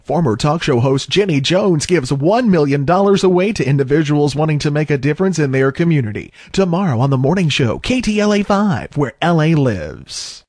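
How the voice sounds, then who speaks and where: Animated male TV promo announcer, slightly husky. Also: on the high side, moderate pace, hardly any pauses, no room sound, clean studio sound. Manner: punchy, polished broadcast delivery. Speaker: television promo voice-over announcer. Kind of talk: scripted TV promo narration, announcer read. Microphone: close studio voice-over microphone.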